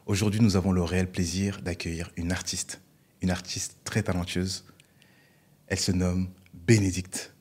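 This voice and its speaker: A woman co-hosting a podcast, asking questions in a casual, informal way with quick reactions. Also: rumbling low, level tone, a little mellow, breathy edge. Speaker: podcast co-host. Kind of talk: casual interview chat.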